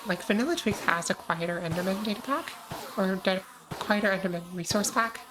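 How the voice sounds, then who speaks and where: An American woman chatting casually on a gaming livestream, answering chat with frequent pauses and ums. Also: mid-range voice, noisy background. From United States. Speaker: streamer.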